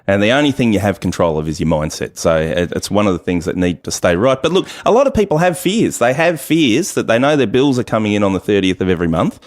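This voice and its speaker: A man's voice: fairly low pitch, sing-song, fast talker, hardly any pauses. A man hosting a conversational radio interview, setting up questions for guests. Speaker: radio show host.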